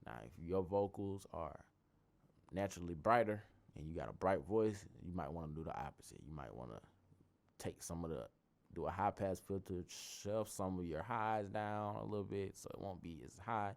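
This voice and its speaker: A young man with a very low, bassy voice, hosting a relaxed tutorial. His delivery is loose and rambling, looping back and restarting phrases as he works things out aloud. He sounds conversational rather than scripted. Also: normal speed, vocal fry. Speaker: tutorial host. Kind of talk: casual screen-recorded tutorial.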